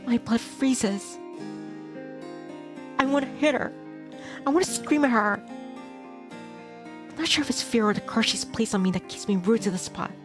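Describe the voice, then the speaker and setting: A woman streamer reading a visual novel aloud in dramatic first person, tense and expressive, in short clipped phrases. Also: a little high, moderate pace, long pauses. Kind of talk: dramatic first-person narration of a game script. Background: game soundtrack music.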